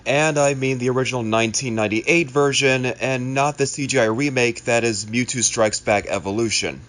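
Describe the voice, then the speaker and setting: A man with an average-pitched voice addresses viewers in a casual, explanatory way. He sounds like a hobbyist creator laying out an announcement. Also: moderate pace, fairly bright tone. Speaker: amateur video creator and voice actor. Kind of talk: casual scripted-feeling vlog announcement.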